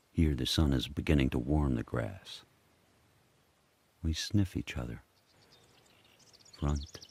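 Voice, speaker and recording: An actor voicing a powerful, solitary dog turning wild, telling his story in terse, measured present-tense sentences for a radio drama. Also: a bass voice, creaky, a little mellow, breathy edge, full character voice.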